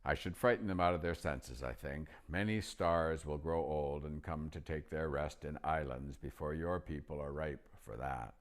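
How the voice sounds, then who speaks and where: A man voicing a great wise male lion who speaks slowly and gently. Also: a bass voice. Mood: gentle. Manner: slow, grave, gentle.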